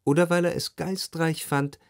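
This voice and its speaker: An actor playing a reflective, well-off man of letters, narrating a literary radio play in a measured, analytical way. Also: a little high, fairly even intonation, vocal fry, darkish tone, no echo. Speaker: first-person narrator.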